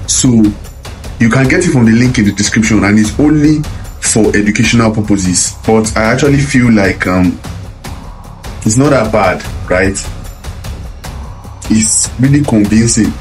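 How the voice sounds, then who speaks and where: A man presenting a casual software tutorial, often checking in with the listener with little tag questions. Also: on the low side, a little slow, pauses often. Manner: frequent "okay", "right", "you understand" check-ins. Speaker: tutorial host. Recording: music behind the voice. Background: background music.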